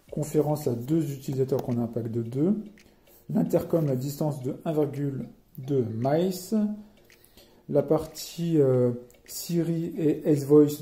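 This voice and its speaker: Mellow, dark-voiced French man reviewing a product. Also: mid-range voice. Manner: explanatory, reading specs aloud with commentary. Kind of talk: casual product walkthrough.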